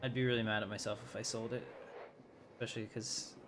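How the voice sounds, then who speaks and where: A man's voice: lowish voice, flat delivery, quick pace, background noise. A man giving casual, easygoing live gameplay commentary, thinking aloud as he plays. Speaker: gaming streamer.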